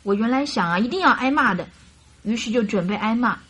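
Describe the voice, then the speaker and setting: A woman's voice: fairly high pitch, lively intonation, a little fast, fairly bright tone, slightly rough. A woman playing a naive, devoted young lady in distress in a radio drama, pleading and girlish. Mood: subdued, timid. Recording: radio drama with music behind.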